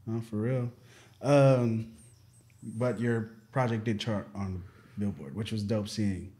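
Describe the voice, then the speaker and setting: A man interviewing a rapper in a relaxed chat, easygoing and affirming, asking questions in slang. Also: lowish voice, slow.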